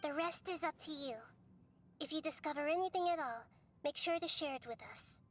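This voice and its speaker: A woman voicing a gentle, wise, childlike goddess in a video game, calm and kindly with composed authority. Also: high voice, fairly quick, natural voice. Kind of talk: animated video game voice acting. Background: music.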